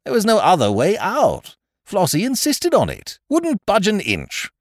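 Storybook scrounger played by a male reader: falsely hearty, cajoling, hugely animated, pitch leaping about. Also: unhurried, no echo, studio quality, full character voice. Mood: apologetic pleading. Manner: effusive, cajoling, old-fashioned British slangy address. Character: breezy male scrounger, wheedling, overfamiliar, falsely hearty chum.

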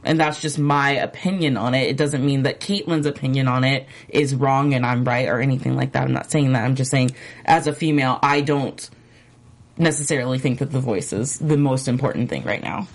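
A woman co-hosting a casual podcast chat, who gives her opinions in a relaxed, measured and careful way. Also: extremely low pitch. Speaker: podcast co-host.

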